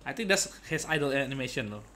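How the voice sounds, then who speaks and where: A man's voice: mid-range voice, lively intonation, fast, sharp tone, slight background noise. A young man giving casual, playful reaction commentary as a game streamer, with hesitant asides. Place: indoor room at desk, webcam stream. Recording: game music faintly behind.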